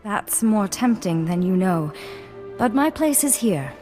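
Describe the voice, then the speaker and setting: A woman's voice: medium pitch, sing-song, a little slow, a little mellow. An actress playing a refined, flirtatious noblewoman in a video game cutscene, speaking with formal poise. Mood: wistful, composed. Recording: long hall reverb, music behind.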